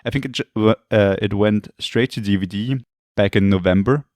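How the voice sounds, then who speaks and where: Slightly hoarse man chatting on a podcast. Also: lowish voice, normal speed, a little mellow, dry recording. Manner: rambling, hesitant, self-correcting. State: losing his voice, throat clearing. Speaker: podcast co-host. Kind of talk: casual chat.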